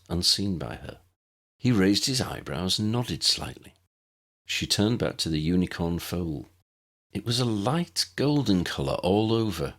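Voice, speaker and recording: A man's voice: low voice, a bit bright, slightly rough. A man reading a story aloud as a scripted audiobook narration.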